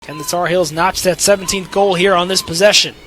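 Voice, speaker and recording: High-pitched young American sportscaster guy. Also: steady tone, a little fast, fairly bright tone, slight background noise. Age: young adult. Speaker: student sports anchor, reporter and play-by-play commentator. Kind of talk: scripted sports news and commentary.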